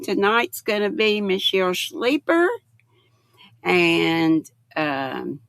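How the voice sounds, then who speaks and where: Gravelly older woman, slow homey chat. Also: mid-range voice, a bit bright, dry recording. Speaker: livestream host. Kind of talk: casual chat with live viewers.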